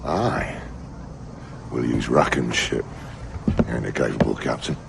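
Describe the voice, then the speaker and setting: An actor playing a gruff, foul-mouthed, hot-tempered pirate captain with a gravelly voice in a video game cutscene. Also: male voice, very deep voice, slow pace, full character voice. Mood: gruff agreement. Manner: cursing, blunt. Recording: clear background ambience noise.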